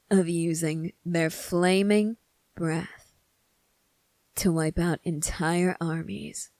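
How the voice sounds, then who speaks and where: Young prince, played by a woman for ASMR roleplay: teasing, smug, quietly in command, very unhurried delivery in a fairly low register. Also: dry recording. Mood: smooth, persuasive. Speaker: voice actor. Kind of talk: scripted ASMR roleplay monologue.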